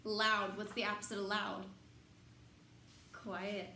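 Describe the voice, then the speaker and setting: A woman reading a picture book aloud to young children in an expressive, sing-song way, pausing to put questions to them. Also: medium pitch, vocal fry. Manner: expressive, dramatic emphasis, sing-song counting and repetition. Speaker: children's storybook reader. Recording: roomy echo, faint background noise.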